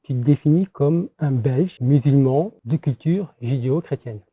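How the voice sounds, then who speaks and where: A man's voice: mid-range voice, moderate pace, slightly rough, no echo. A man hosting a podcast interview, asking short questions. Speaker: podcast host. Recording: dry, phone-like narrow sound.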